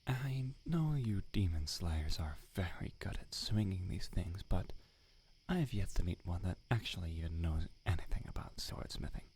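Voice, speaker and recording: A man's voice: deep voice, expressive, moderate pace, a little mellow, husky, full character voice. A man playing a prickly, irritable village swordsmith, in whispered, sarcastic roleplay ASMR. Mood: lecturing. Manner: whispered, exasperated, sarcastic scolding. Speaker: ASMR voice actor.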